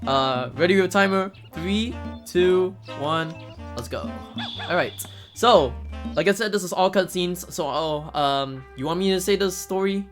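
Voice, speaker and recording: A man's voice: sits high, measured pace. A man giving casual, hesitant live commentary as he speedruns a video game, often repeating words. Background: game music.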